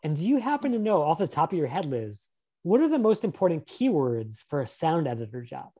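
Man, career workshop presenter on a webcam, lively pitch, mellow tone, upbeat and encouraging. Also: a little fast. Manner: upbeat, encouraging, asks questions. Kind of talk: live webinar presentation. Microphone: webcam on a video call.

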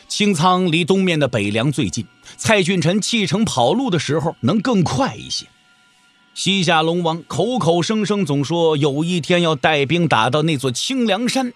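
A male storyteller narrates a martial-arts tale with dramatic, widely varied intonation. His voice is husky and rough-edged, with soft music playing behind it. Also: a little high.

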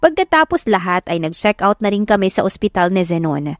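A woman's voice: mid-range voice, very animated, a little fast, no room sound, studio quality. A woman with a Filipino accent giving a dramatic first-person narration of a story. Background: background music.

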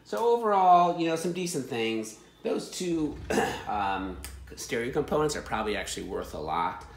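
A man's voice: medium pitch, sing-song, normal speed. A middle-aged man giving casual, off-the-cuff commentary on thrift finds, with dry, wry, muttered asides. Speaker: reseller showing thrift finds. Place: indoor room at home, at a table. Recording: noisy home recording with handling clicks.

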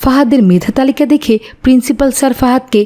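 A woman's voice: high-pitched, quick pace. A woman narrating a scripted story as its storyteller.

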